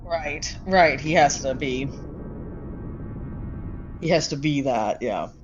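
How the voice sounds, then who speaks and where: A woman's voice: low voice, animated, takes it slowly. A woman speaking casually as a co-host in a conversational discussion. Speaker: podcast co-host. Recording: dull lo-fi audio, music behind.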